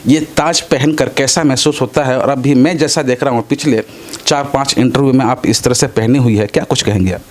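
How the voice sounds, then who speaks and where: A man's voice: medium pitch, fairly even intonation, brisk. A man working as an interviewer, putting wordy, roundabout questions to a guest.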